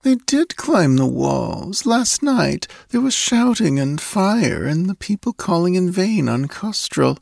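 Man voicing a high, drowsy, languid young woman. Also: big pitch swings, no room sound, clean studio sound, exaggerated voice. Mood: drowsy, puzzled. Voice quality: soft, breathy murmur. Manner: drowsy, languid, drawn-out yawning delivery. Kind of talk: audiobook character acting.

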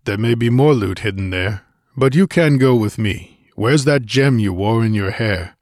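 A man voicing a brawny barbarian swordsman in an audiobook, gruff and curt, giving orders in a grunting, hard-bitten way. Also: lowish voice, normal speed, a little mellow, no echo, clean studio sound, close to an everyday voice. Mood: gruff commanding.

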